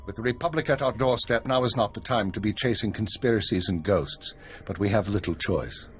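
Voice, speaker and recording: A male actor plays a weary older spymaster in a sci-fi game cutscene. His voice is fairly low and measured, with the authority of a commander. Faint music plays behind him. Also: natural voice. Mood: grave. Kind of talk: video game cutscene acting.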